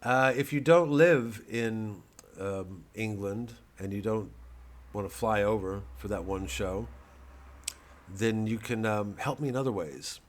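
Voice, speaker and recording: A middle-aged man with a fairly low voice talks casually, as a comedian promoting his own work would. He wanders off on tangents and circles back, halting often. The tone is wry and unpolished. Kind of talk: rambling casual talk to camera.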